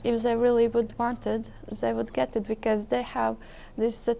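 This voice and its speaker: A young woman with a Latvian accent speaks in a high, gravelly voice. Her pitch stays level, and she talks casually with small fillers. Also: monotone, normal speed, warm tone, a little hiss or hum.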